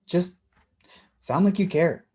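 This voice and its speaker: A man's voice: high-pitched, slow, darkish tone, slight background noise. A man playing a defensive, wounded blind brother in a staged play reading, blunt and sarcastic. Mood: irritated.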